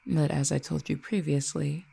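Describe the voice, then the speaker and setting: Audio drama role: ancient fallen cupid, acted by one performer, mellow and creaky mid-pitched voice, formal, wry, quietly menacing. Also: steady tone. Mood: calm, intrigued. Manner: formal, eloquent, measured archaic phrasing. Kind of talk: roleplay audio drama, addressing the listener.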